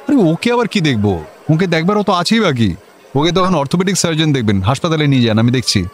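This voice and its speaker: A man playing a senior district official in a comic radio drama, brisk and dismissive, repeating his phrases impatiently. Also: on the high side, sing-song.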